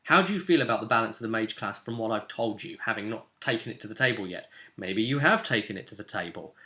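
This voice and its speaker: A man's voice: lowish voice, animated, fast, bright, forceful tone. A man hosting an informal tabletop roleplaying guide, talking directly to viewers in a conversational, explanatory way. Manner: conversational, addresses viewers directly with tag questions. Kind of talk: informal explainer, part ad-libbed, part reading rules aloud.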